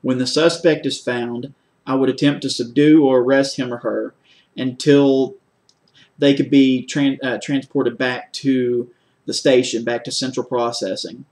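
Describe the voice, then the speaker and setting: A man answering formal job interview questions in a measured, methodical way, explaining things step by step as a candidate for a security post. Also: medium pitch, flat delivery, a little mellow.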